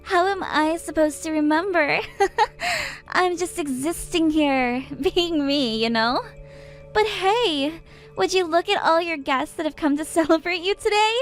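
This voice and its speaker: A drunk, smug young sister-in-law, acted out by a woman, squealing with giggles in a high, lilting voice. She teases in a mocking sing-song over a light music bed in a dramatized story. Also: lively intonation, unhurried. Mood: giggly mocking.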